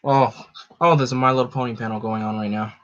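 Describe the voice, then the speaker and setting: A young man with a deep voice hosting a casual livestream, rambling with lots of fillers and answering his chat. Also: fairly even intonation, a little fast, a bit bright. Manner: rambling, lots of "like" and "you know". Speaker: livestream host. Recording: dull lo-fi stream audio.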